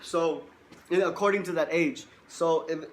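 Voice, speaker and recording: A man's voice: on the high side, fairly even intonation, measured pace. A man in his twenties, a life coach giving a boastful, emphatic motivational monologue and repeating himself for stress. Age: 20s.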